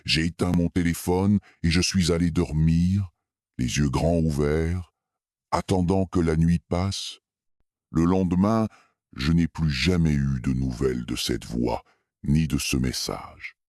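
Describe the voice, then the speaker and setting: A man with a very deep voice narrates a scripted scary story in the first person. He speaks slowly and with measured suspense, in a dry recording with no room sound. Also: a little mellow, no echo.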